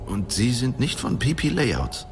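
An actor in a German radio drama playing a wary, uncertain small-time salesman who speaks haltingly. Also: medium pitch, sing-song, background noise. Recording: music behind.

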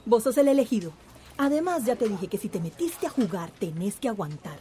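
A woman's voice: medium pitch, sing-song, fairly quick, dark tone, natural voice. A woman playing a cool, commanding schemer in a radio drama, unruffled and bossy. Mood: cool, mocking. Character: cool, commanding female accomplice of a nervous boss.

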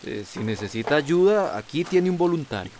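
A man's voice: on the high side, big pitch swings, normal speed, darkish tone, slight background noise. A man acting in a radio soap as a supportive, eager aide to a mayor.

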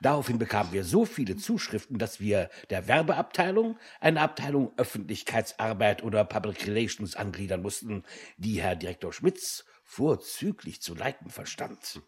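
An actor playing a pompous, self-satisfied general director giving a declamatory boardroom speech in a radio play, husky-voiced. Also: male voice, on the low side, big pitch swings, moderate pace. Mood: jovially grandiose.

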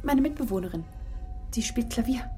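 A woman playing a young music student in a radio crime drama. She is an earnest, loyal daughter with a defiant streak, her voice is fairly high, and music plays behind her. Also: animated, normal speed, dark tone, close to an everyday voice. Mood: uneasy, persuading. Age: young adult. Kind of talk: naturalistic German radio crime drama acting.

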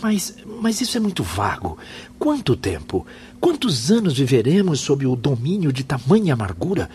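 Male role, radio drama: distressed suicide soul, agitated and pleading, animated pitch, slight background noise. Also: normal speed. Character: anxious, distressed male suicide soul questioning his mentors.

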